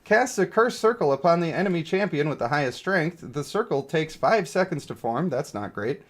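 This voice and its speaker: A man doing casual live-stream game commentary, reading text aloud and thinking out loud in informal, slangy, sometimes profane language. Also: on the high side, animated, normal speed.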